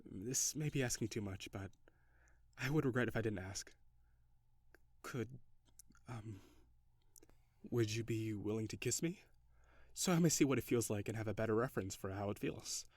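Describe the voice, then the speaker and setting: A reserved, curious male alien, played by a man in an intimate audio roleplay. His voice sits fairly low and his wording is formal and precise, as if studying humans. The sound is dry, with little room around it. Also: moderate pace, long pauses, a little mellow, dry recording, lightly acted. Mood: polite, curious. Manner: stiff, formal, precise phrasing. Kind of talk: intimate scripted audio roleplay addressed to the listener.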